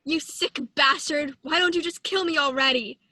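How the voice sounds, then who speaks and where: A captured spy acted by a woman with a high, very sharp, forceful voice. Bitter and defiant, the musical prisoner speaks slowly and accusingly, close and dry. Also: no echo. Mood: defiant anger. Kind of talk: amateur musical script reading.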